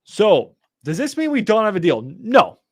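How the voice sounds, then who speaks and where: A young man hosts a casual live stream, his voice on the high side and jumping into loud, swearing exasperation before he settles back to explaining deals. The sound is very dry and close, with no room around it. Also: moderate pace, no echo. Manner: excitable, swears when exasperated, talks to chat. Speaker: real estate wholesaling livestream host. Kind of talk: casual livestream teaching.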